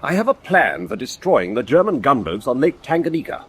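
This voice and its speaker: A man playing a confident, brisk big game hunter in a radio drama, formal and direct. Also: medium pitch, sing-song, a bit bright, husky. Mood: confident, persuasive.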